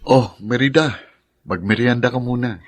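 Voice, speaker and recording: Actor doing bossy filipino kuya, teasing his little sister, mid pitch, close dry studio. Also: normal speed, dry recording, soft background music, natural voice. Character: teasing, bossy, protective young adult older brother. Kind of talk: Filipino radio drama acting.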